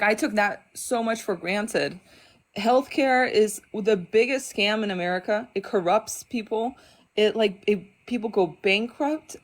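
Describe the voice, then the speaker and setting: A woman in her thirties who grew up in Denmark, calling into a live stream and ranting with emphatic, fed-up bursts. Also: mid-range voice. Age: 30s. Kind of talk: impassioned rant.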